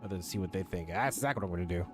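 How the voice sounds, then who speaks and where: A man's voice: sits low, very fast, music in the background. Slangy young male game streamer. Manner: slangy, sweary, chatty. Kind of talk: casual gameplay commentary.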